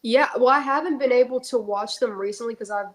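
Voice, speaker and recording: A woman's voice: fairly high pitch, brisk. A young woman with a congested, stuffy nose, chatting casually and jokingly as a guest on a talk show. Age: young adult. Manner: chatty and joking, with many fillers. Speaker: guest, actress. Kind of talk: casual chat.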